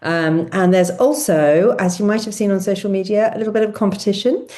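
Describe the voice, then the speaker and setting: A woman hosts an online book event, guiding the guests with questions. Her delivery is hesitant, full of ums and small restarts, at an ordinary pitch. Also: brisk. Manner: warm-up host, hesitant with many ums. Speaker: host of a book launch.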